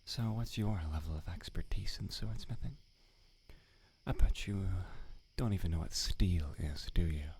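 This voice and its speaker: A man's voice: deep voice, normal speed, a little mellow, breathy edge, full character voice. A man playing a prickly, irritable village swordsmith, in whispered, sarcastic roleplay ASMR. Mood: lecturing. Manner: whispered, exasperated, sarcastic scolding. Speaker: ASMR voice actor.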